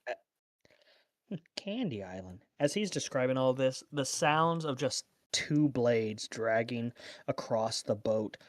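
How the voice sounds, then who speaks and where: A man playing a tabletop game, narrating his character's actions in a drawn-out, amused way. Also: mid-range voice, lively intonation, dry recording.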